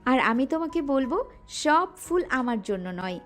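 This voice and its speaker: A woman's voice: sits high, very animated, measured pace. A female voice actor playing a shy, teasing young wife in an audio romance drama. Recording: music bed underneath.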